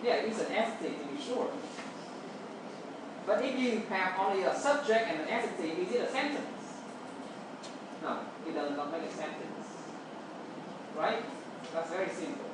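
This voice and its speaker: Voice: mid-range voice, expressive, normal speed, long pauses. A native Vietnamese speaker with a Vietnamese accent, giving an explanatory classroom lecture and checking understanding with short tag words. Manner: checks understanding with "okay" and "right". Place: roomy indoor space, distant mic, noise behind. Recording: echoey room from far off, noisy.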